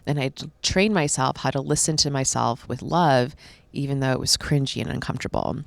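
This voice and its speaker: Woman, late thirties, low smooth voice, dry close sound, candid rambling chat. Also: fairly quick, dry recording. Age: 30s. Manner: candid, rambling, self-reflective. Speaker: podcast guest, stand-up comic. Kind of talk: casual conversation.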